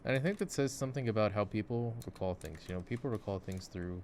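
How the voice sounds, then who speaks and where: Male, very low voice, musing ASMR gamer chat, light clicking and typing behind. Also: fast, a little mellow. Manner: reflective, musing. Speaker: ASMR voice actor playing games. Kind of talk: intimate ASMR gameplay chat. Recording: clicking mouse and keyboard noise. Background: mouse clicks and keyboard typing.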